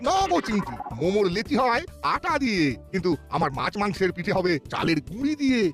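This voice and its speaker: Comic cartoon male vendor: boastful, eager, very animated pitch, music behind. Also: fast. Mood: proud, insistent. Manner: bragging, swooping, insistent. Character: boastful male meat-pitha seller, eager to impress.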